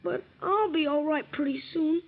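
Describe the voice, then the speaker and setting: An actress playing a frightened, sick little boy in a 1940s radio drama, speaking slowly and plaintively. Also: vocal fry. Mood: tearful. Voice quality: high, small childlike voice. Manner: slow, halting, plaintive. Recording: tinny old radio recording.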